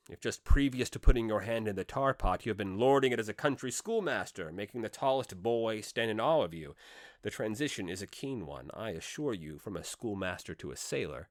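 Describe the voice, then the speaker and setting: A man with a fairly low voice narrates an old literary novel in the first person. He reads with theatrical emphasis and deliberate dramatic pauses. The recording is close and dry, with very little room sound. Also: dry recording. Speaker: audiobook narrator.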